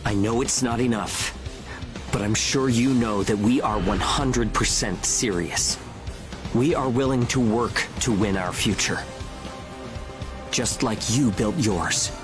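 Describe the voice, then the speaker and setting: A man's voice: lowish voice, takes it slowly. An actor playing an earnest, determined young man in a dramatic video game scene, speaking persuasively. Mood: pleading, determined. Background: music.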